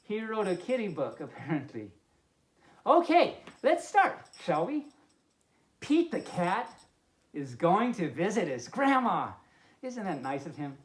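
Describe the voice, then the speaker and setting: A woman's voice: fairly low pitch, sing-song, measured pace, long pauses. A woman hosting a children's story time as a friendly pup, reading expressively and adding playful, amused asides. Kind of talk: expressive storybook read-aloud with chatty asides. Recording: roomy, echoing indoor sound.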